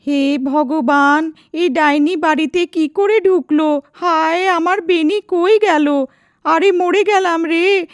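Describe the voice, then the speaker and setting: Woman acting a young village wife, cartoon, very high piercing pitch, melodramatic, dry sound. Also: steady tone, slow, no echo. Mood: wailing. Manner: wailing, melodramatic.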